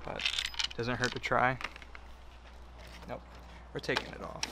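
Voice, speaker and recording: A man's voice: mid-range voice, steady tone, unhurried, heavy background noise. A man giving casual, offhand hands-on how-to commentary, half muttering to himself as he works. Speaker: DIY vlogger installing a CB radio.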